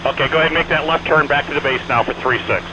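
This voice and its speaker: Fast-talking male controller on noisy aviation radio. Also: on the high side, dull audio. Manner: clipped, repetitive directives with courteous sign-offs. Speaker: air traffic controller at an airshow arrival. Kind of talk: rapid radio traffic instructions. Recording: band-limited aviation radio, aircraft engine noise. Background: small airplane engine drone.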